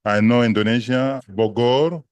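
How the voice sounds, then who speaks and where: A man's voice: medium pitch, a little mellow, no room sound. A man from Congo with a French accent, a native French speaker giving a seminar lecture in a big, emphatic voice. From Democratic Republic of Congo. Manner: slow, emphatic listing with a big voice. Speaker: guest lecturer.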